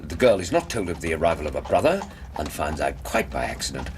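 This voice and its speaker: A solid, earnest English gentleman in a radio drama. The voice is very deep yet animated, formal and a touch pompous. Clear street noise and clopping hooves run behind it. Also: fast. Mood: earnest, theorising. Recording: noisy street ambience with horse hooves.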